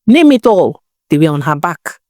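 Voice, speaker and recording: A woman narrating a moral folktale as a storyteller, in an expressive, emphatic manner with rhetorical questions. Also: low-pitched, normal speed, dry recording, studio quality. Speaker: storyteller, channel host.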